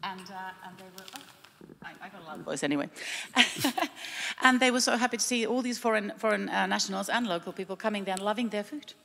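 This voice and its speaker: A woman's voice: medium pitch, fairly quick, gravelly, sharp tone. A woman panelist telling stories in a chatty way, with frequent restarts, at a panel discussion in a large hall. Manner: chatty storytelling with many restarts. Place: large trade fair hall with reverb. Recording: echoey hall, faint background hum.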